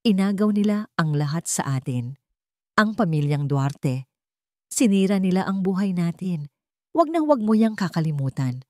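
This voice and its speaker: A woman's voice: fairly low pitch, very animated, normal speed, darkish tone, no echo. An enraged, bitter father voiced by a woman storyteller in a melodramatic tale. The delivery is accusing and emphatic, in a close, dry recording. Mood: brooding.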